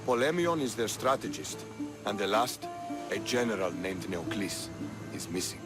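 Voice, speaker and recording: Man acting a low-voiced proud Spartan soldier. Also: expressive, moderate pace, over music, close to an everyday voice. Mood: stern. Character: proud male Spartan soldier, bold and battle-hungry. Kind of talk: video game cutscene acting.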